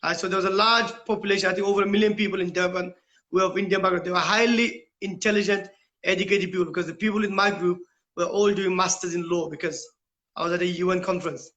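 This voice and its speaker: A man's voice: high-pitched, fairly even intonation, fast talker, pushed and bright, muffled recording. A middle-aged British man giving earnest interview answers as a school principal, often posing questions and checking with "right?". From United Kingdom. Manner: rhetorical questions, tags points with "right?". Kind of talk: earnest, explanatory interview talk.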